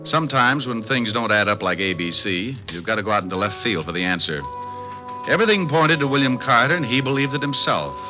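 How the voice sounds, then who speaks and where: An actor playing a suave, quick-witted private detective in a 1950s radio drama, brisk, probing and confident. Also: male voice, mid-range voice, animated, over music, close to an everyday voice, poor audio. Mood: wry narration.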